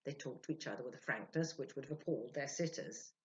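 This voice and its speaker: A woman in her sixties reading a story aloud as an expressive, theatrical storyteller. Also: a bass voice, quick pace. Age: 60s. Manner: theatrical, acting out dialogue. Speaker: storyteller, reader. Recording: dull lo-fi home recording.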